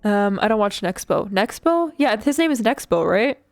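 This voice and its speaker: Woman streamer, casual rambling chat over a craft build, filler-heavy and giggly, soft music underneath. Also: mid-range voice, sing-song, normal speed. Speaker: streamer building a model kit.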